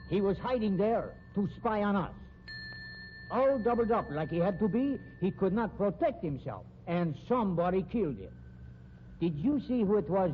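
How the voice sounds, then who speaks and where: Casting: accusing foreign circus performer, high voice, swooping delivery, stiff wording; tinny old radio. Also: male voice. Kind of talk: 1950s radio acting. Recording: tinny, noisy 1950s radio recording.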